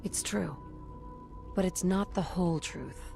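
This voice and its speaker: A diplomatic video game heroine with a somewhat low voice. She sounds composed, reassuring and controlled, with faint music behind her. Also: slow pace, creaky, dark tone, full character voice. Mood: solemn, proclaiming. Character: composed, diplomatic heroine, incoming director of a secret institute.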